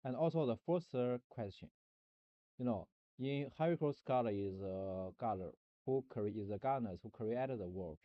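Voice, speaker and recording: A man streaming a rambling philosophical monologue, halting and searching for words, often restarting and repeating himself. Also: mid-range voice, no room sound, muffled recording.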